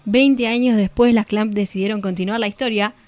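Woman, mid-pitched, informal explainer. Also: steady tone, a little fast, darkish tone, no room sound. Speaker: guest discussing comics and manga. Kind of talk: casual radio interview discussion.

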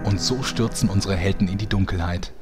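A man's voice: deep voice, brisk, loud music behind the voice, natural voice. A man playing a dramatic, tongue-in-cheek and pompous narrator of a serial audio drama parody. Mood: dramatic, mock-suspenseful.

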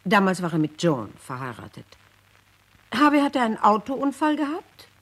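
A divorced ex-wife recalls her former husband as testimony in a radio play. The voice is breathy and medium in pitch. Her delivery is measured yet melodically varied. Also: big pitch swings, fairly bright tone. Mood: troubled. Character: divorced ex-wife giving testimony, once proud of him.

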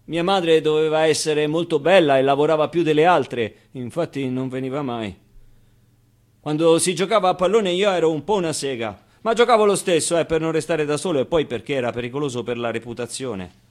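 Theatre monologue: male actor as wry, tender orphan boy, higher-pitched voice, confiding and conversational. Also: brisk, natural voice. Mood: animated, confiding. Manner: conversational, hesitant interjections, emphatic repetitions. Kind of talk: theatrical monologue, intimate storytelling.

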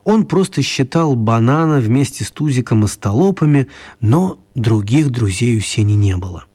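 Male storyteller, medium pitch, wry. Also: animated, normal speed, warm tone, husky. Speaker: narrator. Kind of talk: scripted storytelling for a children's radio play.

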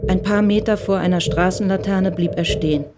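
A woman's voice: medium pitch, fairly even intonation, brisk, natural voice. A woman giving scripted literary narration in a radio crime drama. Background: music.

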